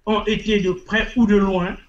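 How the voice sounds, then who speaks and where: A man's voice: high voice, fairly even intonation, moderate pace, dull audio. A man with a Congolese French accent, a political activist answering an interviewer, repeating his phrases for emphasis. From Republic of the Congo.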